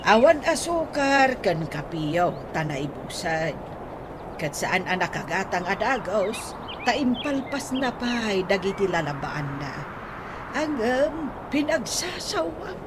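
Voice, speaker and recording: A woman's voice: mid-range voice, sing-song. A woman playing a plain-spoken neighbour who chides and advises, in a radio drama, with an Ilocano accent. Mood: chiding. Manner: chiding, measured, emphatic. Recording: noisy, outdoor-like ambience behind.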